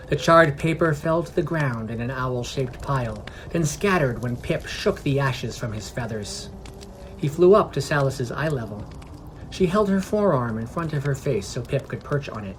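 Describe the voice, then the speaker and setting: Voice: mid-range voice, a little hiss or hum, low-quality recording. A narrator reading a scripted fantasy story for a podcast, in prose with acted dialogue.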